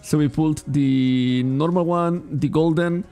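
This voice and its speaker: Catalan-accented man, rather high and gravelly, mellow tone, loose rambling livestream chat, faint music underneath. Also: steady tone, a little slow. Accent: Catalan-accented English. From Spain. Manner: rambling, self-correcting, laughs often. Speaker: trading card shop streamer.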